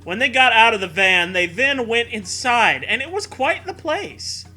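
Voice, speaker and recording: A man's voice: very high voice, sing-song, measured pace, pushed and bright, soft background music. A man reading fan fiction aloud as a co-host, riffing in a profane, mock-dramatic way and prone to breaking into laughter. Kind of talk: comedic read-aloud with riffing.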